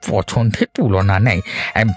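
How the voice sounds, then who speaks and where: A man voicing a greedy, obsequious village shopkeeper in a cartoon, wheedling and flattering. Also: fairly low pitch, measured pace. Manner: fawning, hesitant wheedling. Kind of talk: animated cartoon voice acting. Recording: faint music behind the voice.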